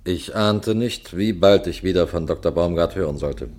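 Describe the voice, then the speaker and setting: A male actor as a composed colonel recounting a case in a radio mystery. His voice is low and measured, over a slightly noisy old recording. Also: a little mellow, close to an everyday voice. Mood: composed storytelling. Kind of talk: scripted radio mystery narration and dialogue.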